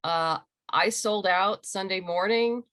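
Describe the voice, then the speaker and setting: A woman's voice: mid-range voice, slow, fairly bright tone, no room sound, clean studio sound. A woman talking casually and emphatically as an interview guest on a video call, with an enthusiastic manner. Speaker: interview guest, comic book writer and creator. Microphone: video call microphone.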